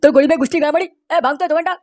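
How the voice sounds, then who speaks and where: A woman's voice: sits high, racing, a little mellow, no echo, soft background music, natural voice. Cartoon henchman played by a woman: bullying, sweary, rattling off threats at top speed. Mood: menacing. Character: foul-mouthed bullying young street thug, cocky henchman. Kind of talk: comic cartoon dubbing.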